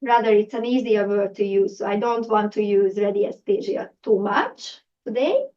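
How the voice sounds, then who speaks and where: A woman's voice: mid-range voice, animated, moderate pace, dark tone. A woman from Hungary with a Hungarian accent, giving a public talk to a live audience with many fillers and restarts. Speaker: presenter. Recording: distant, dull lo-fi room recording.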